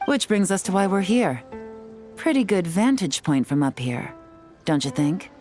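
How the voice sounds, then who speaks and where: A composed female spy with a low voice, sly and self-assured, teasing as she talks through her schemes. Soft game music sits faintly behind her. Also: sing-song, a little slow. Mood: explaining. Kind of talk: video game voice acting.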